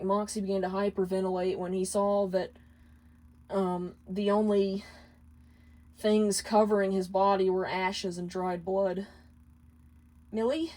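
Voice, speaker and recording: A woman's voice: mid-range voice, dark tone, rough recording. A woman reading a story aloud as scripted narration, occasionally stumbling and correcting her words. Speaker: fan fiction reader.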